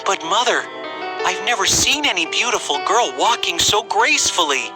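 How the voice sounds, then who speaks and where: Man playing a high-voiced, courteous young prince. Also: animated, normal speed. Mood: earnest. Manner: gentle, courteous, well-mannered. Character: polite, courteous young prince seeking a bride. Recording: music behind the voice.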